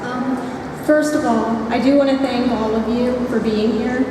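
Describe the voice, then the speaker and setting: A woman's voice: on the high side, fairly even intonation, moderate pace, noisy background. Polite woman asking from a big hall. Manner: polite, with fillers. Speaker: fan asking a question. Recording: echoey hall from far off.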